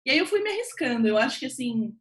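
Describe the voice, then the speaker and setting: A woman with a Brazilian accent speaking as a guest writer on a panel, telling her story informally and acting out remembered remarks in an animated way. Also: high voice, fast talker. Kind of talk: conversational personal account on a panel.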